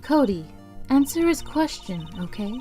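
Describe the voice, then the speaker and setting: A woman playing a composed, earnest lawyer and mentor in a video game fandub, calm, sincere and reassuring. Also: high-pitched, measured pace. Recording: music bed behind the voice.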